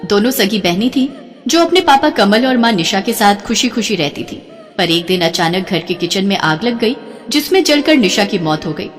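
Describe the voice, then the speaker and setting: A woman narrating a moral cartoon story in an expressive, scripted storytelling style. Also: mid-range voice, moderate pace. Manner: expressive, melodic. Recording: reverberant with soft background music.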